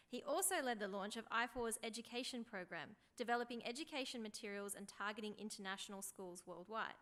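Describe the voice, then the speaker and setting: A woman's voice: on the high side, bright, forceful tone. A woman hosting a conference session, reading out a formal introduction for a speaker.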